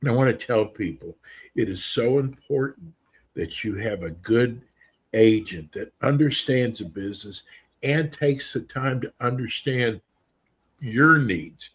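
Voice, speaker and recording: Husky older male radio host. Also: medium pitch. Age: 60s. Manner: upbeat, earnest, folksy. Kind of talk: casual radio talk show.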